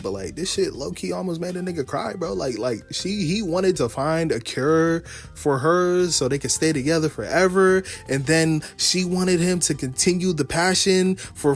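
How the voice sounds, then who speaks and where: A man giving a casual, slangy video game story recap, swearing freely as he goes. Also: on the high side.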